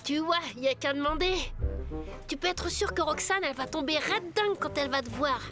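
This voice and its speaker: Casting: actress as eager schoolboy sidekick, very high pitch, exclamatory cartoon delivery over loud music. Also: female voice, fairly quick, close to an everyday voice. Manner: excitable, exclamatory. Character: excitable, loyal young boy, hero's best pal. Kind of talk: French children's cartoon dubbing.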